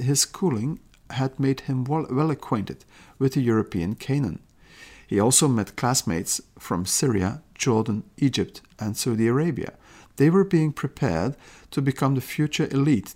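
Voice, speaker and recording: A man giving a lecture-style podcast talk, explaining at a measured pace with occasional restarts and quoted passages. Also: mid-range voice, a little mellow.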